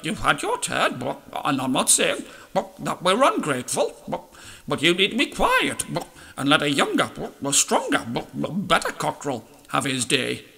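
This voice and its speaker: A man voicing a doting, flattering mother hen who clucks between words in a storybook tale. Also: a little high, measured pace, gravelly, heavily acted. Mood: scornful, dismissive.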